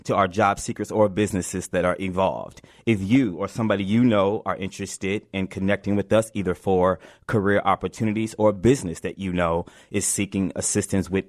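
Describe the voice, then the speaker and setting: A man's voice: deep voice, steady tone, fairly quick, hardly any pauses, dry recording, studio quality. A man hosting a live community radio interview show, often repeating himself as he goes.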